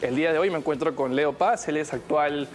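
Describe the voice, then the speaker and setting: A man's voice: fairly high pitch, brisk, slight background noise. A man with a Peruvian accent hosting a radio interview in a conversational style. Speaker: radio show host, substitute.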